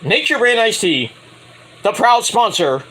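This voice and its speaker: A man talks through a wrestling show recap on his own, rambling, correcting himself and tossing in sarcastic asides. His voice is high and very animated, with a bright edge. He is coming down with a cold, and a faint hiss of noise sits behind him. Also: unhurried, low-quality recording. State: getting a cold, voice going. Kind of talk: casual solo review recap.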